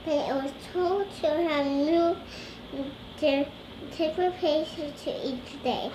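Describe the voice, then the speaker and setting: High-pitched young girl reading slowly. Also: fairly even intonation. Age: child. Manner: hesitant, stumbling over words, repeating phrases. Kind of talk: halting read-aloud from a storybook. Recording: roomy echo with clear background noise.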